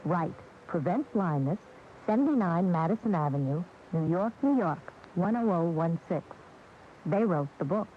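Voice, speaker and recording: A woman's voice: fairly low pitch, lively intonation, normal speed. A woman reading a scripted public service announcement on radio. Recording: dull, faintly noisy AM radio tape.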